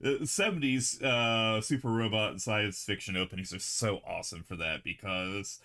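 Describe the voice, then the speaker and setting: A man streaming live, chatting casually in a relaxed, rambling way while he paints models, laughing often. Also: lowish voice, normal speed.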